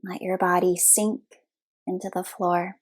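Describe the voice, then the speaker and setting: A woman leading a guided relaxation meditation as a yoga nidra instructor, speaking soothingly in short, unhurried phrases with long pauses. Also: fairly low pitch, little pitch movement.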